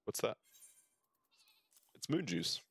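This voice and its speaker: A man's voice: slow pace, fairly bright tone. A man streaming a game, reading its dialogue aloud with casual asides and the odd stumble over the words. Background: game music.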